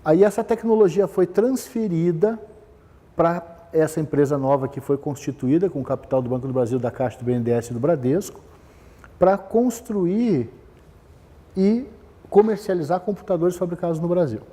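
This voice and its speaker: A middle-aged man from Brazil, telling his career story in a relaxed talk, often hesitating and restarting. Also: a little high, normal speed, big-room sound. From southern Brazil. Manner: rambling, many fillers and restarts. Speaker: business manager giving a talk. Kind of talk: conversational talk, personal history.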